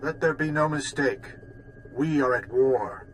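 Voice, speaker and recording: An actor playing a grave, righteous leader making a solemn, oratorical speech of war in a video game. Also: male voice, medium pitch, measured pace, a little hiss or hum, close to an everyday voice, rough recording. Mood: solemn, indignant. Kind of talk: formal speech in game cutscene.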